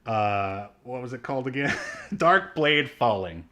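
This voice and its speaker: A man's voice: medium pitch, lively intonation, a little slow, pushed and bright. A man co-hosting a podcast, chatting casually and rambling with fillers and small laughs. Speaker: podcast co-host. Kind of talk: casual chat.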